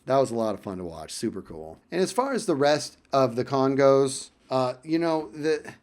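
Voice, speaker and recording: A man casually telling a personal story in vlog style, animated and emphatic, often repeating phrases for effect. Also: mid-range voice, moderate pace.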